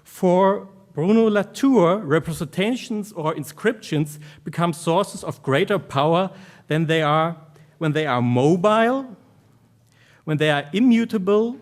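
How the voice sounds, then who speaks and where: The speaker is a man with a German accent and a voice pitched a little above average. He presents academic research to a conference in an informal way, with phrases that halt and restart as he goes.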